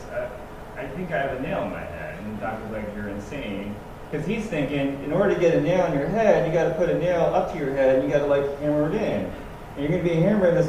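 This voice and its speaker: A man on a panel, telling an anecdote in a lively storytelling way. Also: a little high, far from the mic. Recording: echoey hall from afar, noisy.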